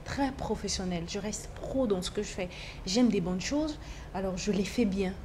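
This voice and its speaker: Female singer, Bemba as first language, repeats herself for emphasis, mid-pitched voice, slight hiss behind. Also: moderate pace, a little mellow. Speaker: singer, guest. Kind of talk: casual interview talk.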